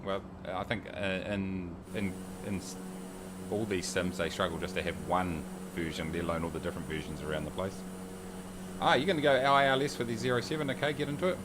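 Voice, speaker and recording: A low-voiced man talks loosely and jokes through a livestream, reading viewers' comments out as he goes. A steady hum runs under his home mic. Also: a little fast. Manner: chatty and joking, reads viewer comments aloud. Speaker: streamer. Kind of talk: casual livestream chat while flying a sim.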